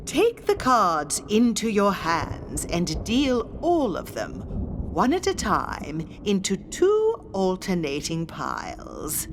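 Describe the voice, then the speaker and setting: A woman's voice: medium pitch, wildly swooping pitch, noisy background. A woman playing an imperious, teasing ghostly spirit medium, leading a ritual in a formal, mysterious audio drama style. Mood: commanding, measured. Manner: slow, dramatic, mysterious, commanding delivery with formal old-fashioned wording. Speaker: séance guide leading a card ritual. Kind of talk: scripted theatrical audio drama narration.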